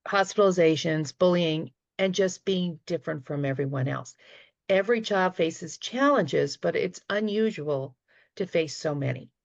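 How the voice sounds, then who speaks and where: A woman's voice: fairly low pitch, creaky, no echo, dull audio. A woman with a Boston accent giving earnest, fond remarks as a guild director on a video call.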